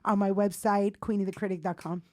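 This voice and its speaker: A woman's voice: medium pitch, flat delivery, a little fast, darkish tone. Outspoken, playful woman podcast guest. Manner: outspoken, playful, repeats phrases for emphasis, swears freely. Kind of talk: casual candid chat.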